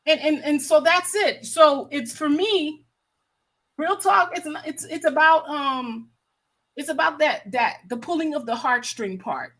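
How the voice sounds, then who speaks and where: A woman's voice: sits high, measured pace, fairly bright tone, poor audio. An American woman hosting a livestream with casual, impassioned commentary, stressing points by repeating her phrases. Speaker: livestream host.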